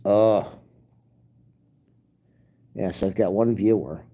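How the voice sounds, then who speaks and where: A man's voice: on the low side, drawn-out words, warm tone. Grumbling, stuffed-up man. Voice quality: nasal. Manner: rambling and grumbling. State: stuffed-up nose. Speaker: livestream host. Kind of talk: casual livestream rant.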